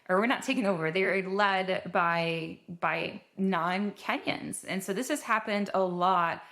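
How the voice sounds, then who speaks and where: A woman's voice: mid-range voice, unhurried, fairly bright tone. A young woman introducing her podcast episode in an earnest, conversational way, sometimes restarting her sentences. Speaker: podcast host.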